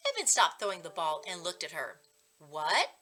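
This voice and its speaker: A woman reads a storybook aloud with a bright, clear-cut edge to her voice. Her narration is expressive and her pitch moves in a lively way, as a coach would read to children. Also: fairly quick.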